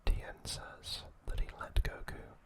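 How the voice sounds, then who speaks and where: ASMR host, sex indistinct: breath-only whisper for scripted trivia narration, soft throughout. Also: takes it slowly.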